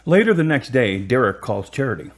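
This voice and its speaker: A woman's voice: a bass voice, big pitch swings, a little fast. A woman narrating a radio drama in a scripted storytelling style.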